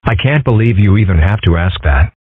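Man acting a low, husky, brash bully's dad. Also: expressive, fairly quick, no room sound, phone-quality sound. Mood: combative. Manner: dismissive, blustering. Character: brash, belligerent used-car salesman father of a bully. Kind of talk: comic strip dub.

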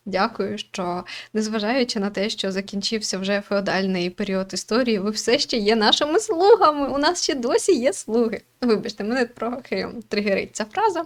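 A woman's voice: medium pitch, animated, moderate pace, few pauses. A woman hosting a game playthrough with casual, chatty commentary, reading screen text aloud.

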